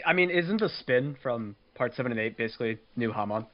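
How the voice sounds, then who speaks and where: A man's voice: medium pitch, expressive, brisk, muffled recording. A man talking casually as a co-host on a group podcast.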